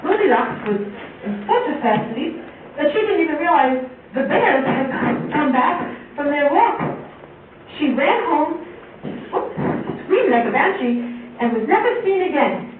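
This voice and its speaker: A woman's voice: sits high, big pitch swings, measured pace, soft background music. A woman puppeteer telling a children's story informally, in a chatty, theatrical way. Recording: very echoey, tinny, distant, faint noise.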